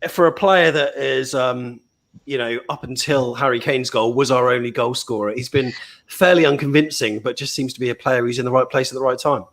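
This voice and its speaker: A man from England hosting a casual live fan show, chatty and upbeat with quick asides. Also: medium pitch, fairly bright tone. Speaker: fan channel host. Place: remote location on video call.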